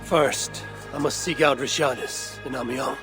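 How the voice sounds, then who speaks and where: A man's voice: mid-range voice, steady tone, a little slow, music in the background, lightly acted. A man playing a stern, steadfast Viking warrior in a dramatic video game, blunt and restrained. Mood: resolute.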